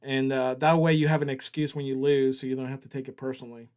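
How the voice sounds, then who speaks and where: A man's voice: medium pitch, little pitch movement, quick pace, darkish tone, smooth. A man hosting a casual livestream, joking around and reading viewer chat aloud. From Southern US. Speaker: livestream host.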